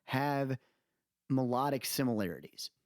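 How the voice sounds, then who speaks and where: An American man with a mid-range voice chats on a podcast with very lively, swinging intonation. He is informal and keeps checking that his listener follows. The recording is close and dry. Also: mid-range voice, unhurried, dry recording. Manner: conversational, checks in with "you know what I mean". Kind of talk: casual podcast conversation.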